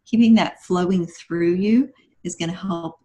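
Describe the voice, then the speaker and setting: A woman's voice: lowish voice, a little slow, mellow. A woman guest on a podcast, giving conversational advice in an instructive, encouraging manner.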